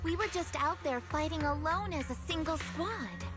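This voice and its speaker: An actress voicing a fiery, loyal young female soldier in a video game, sharp-tongued and indignant. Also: high voice, expressive, normal speed, music in the background.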